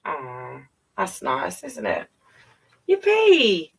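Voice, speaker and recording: A woman's voice: low-pitched, huge pitch swings, extremely slow, gravelly, slightly rough. A woman reading a children's picture book aloud expressively, with chatty asides straight to young listeners. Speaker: children's storyteller.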